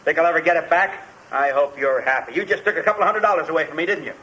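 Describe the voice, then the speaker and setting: A man's voice: on the high side, bright, forceful tone, low-quality recording. An actor playing a bitter, agitated man making accusations in a 1950s radio crime drama. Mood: bitter, accusing. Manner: accusing, rapid-fire. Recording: old radio recording, faint noise.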